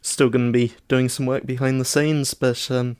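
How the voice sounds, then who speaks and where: An Australian man chatting casually as a podcast co-host, with a dry, deadpan manner. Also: mid-range voice, normal speed, clean tone, dry recording. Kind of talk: casual chat.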